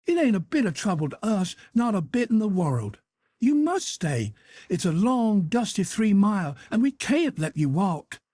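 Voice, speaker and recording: A man reading a story aloud, voicing a feisty middle-aged aunt who scolds in a shrill voice. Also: very animated, moderate pace, warm tone, no echo, clean studio sound. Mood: hospitable.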